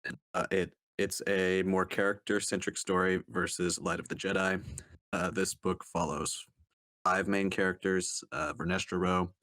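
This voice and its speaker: Very deep-voiced man, hesitant book reviewer. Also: little pitch movement, moderate pace, fairly bright tone, no room sound. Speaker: podcast panelist reviewing books. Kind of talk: casual book review talk.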